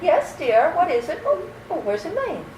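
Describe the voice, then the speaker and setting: A woman's voice: extremely high pitch, wildly swooping pitch, normal speed, a little mellow, heavily acted. A woman playing a sweet, fussy elderly aunt in an old-time radio play. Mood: sweet, fussing. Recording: echoey room, faint noise.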